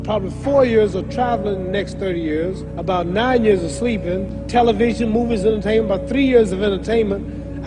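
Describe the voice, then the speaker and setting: A man in his thirties with a high voice delivers a motivational speech. He is emphatic and leans on rhetorical questions, with music playing clearly behind him. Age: 30s.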